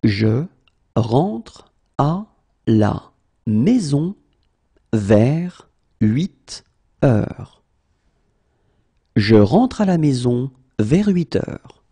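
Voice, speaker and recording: Slow, gravelly French teacher man. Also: medium pitch, big pitch swings, mellow. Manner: repeats each phrase whole, then word by word. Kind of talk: slow phrase-by-phrase language drill.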